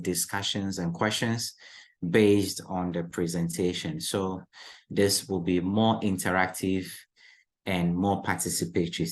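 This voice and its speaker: A man moderating a panel session, slowly coaxing the audience to speak and introducing the first speaker. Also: low-pitched, fairly bright tone. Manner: slow, coaxing, repeats appeals to the audience.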